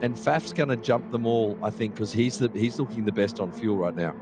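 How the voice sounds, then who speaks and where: A man's voice: lowish voice, a little fast. A man with a South African accent, co-commentating a sim race live, chatty and analytical, often restarting his sentences. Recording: steady background noise.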